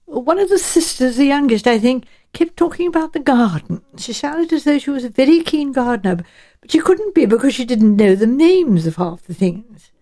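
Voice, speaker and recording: A woman's voice: sits high, lively intonation, moderate pace, mellow. A woman voicing a shrewd, genteel elderly English village spinster, musing and politely chatty, in an audiobook. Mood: chatty, puzzled.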